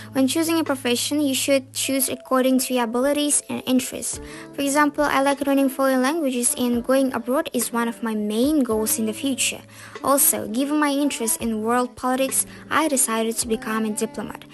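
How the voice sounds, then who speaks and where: Kazakh-accented teenage girl presenting. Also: on the high side. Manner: earnest, recited, occasionally mimics others. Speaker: school student presenter. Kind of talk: school presentation speech.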